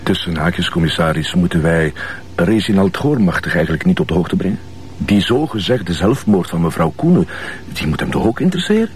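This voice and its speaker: An actor playing an earnest, insecure police deputy in a TV crime drama, polite and hesitant, with a Flemish accent. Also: male voice, deep voice, sing-song, fairly quick, far from the mic, a little hiss or hum. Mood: deferential. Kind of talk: naturalistic TV crime drama acting.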